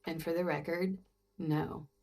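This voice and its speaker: A woman playing a wry, amused young dancer in a video game, with a creaky voice and a languid, teasing drawl. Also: low-pitched, fairly even intonation, a little slow, darkish tone. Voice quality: gravelly, creaky. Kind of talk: video game dialogue acting.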